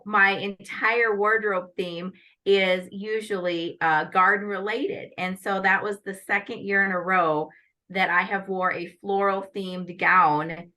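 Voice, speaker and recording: Middle-aged woman from iowa talking up her work in an interview, bright bold voice, poised and well spoken. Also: mid-range voice, fairly even intonation, a little slow. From Northwest Iowa, USA. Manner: poised and articulate, listing her work with enthusiasm. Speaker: guest, lawyer and pageant titleholder. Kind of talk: interview answers.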